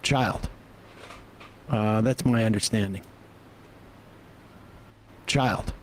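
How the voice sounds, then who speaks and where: A man hosting a livestream and giving reaction commentary, halting and pausing between phrases. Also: lowish voice, a little hiss or hum. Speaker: livestream host.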